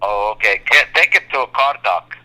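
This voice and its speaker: Very bright, sharp man over the telephone. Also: on the low side, animated, a little fast, rough recording. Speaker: auto repair shop phone staff. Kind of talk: casual phone conversation.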